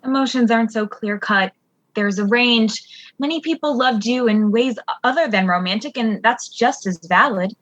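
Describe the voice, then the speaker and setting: A woman playing a gentle, encouraging but easily flustered mentor in an audio drama, speaking in a halting, reassuring way. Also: fairly high pitch, dry recording, muffled recording.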